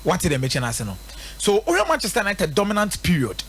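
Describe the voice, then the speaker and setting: A man's voice: sits high, wildly swooping pitch, fast, a bit bright, a little hiss or hum. A man with a Ghanaian accent giving emphatic football analysis on live radio, repeating his points rhetorically for effect. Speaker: radio sports pundit. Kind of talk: live football commentary and analysis, mixing local language and English.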